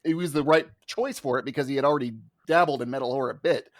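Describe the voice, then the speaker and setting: A man's voice: mid-range voice, fast talker, no echo, clean studio sound. A man acting as defense advocate in a mock trial, speaking emphatically and colloquially with theatrical courtroom flair. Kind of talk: theatrical courtroom argument mixed with casual banter.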